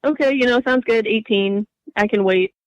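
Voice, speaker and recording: A woman's voice: a little high, normal speed, dry recording, highs cut off. A young adult woman telling her personal story casually as an interview guest.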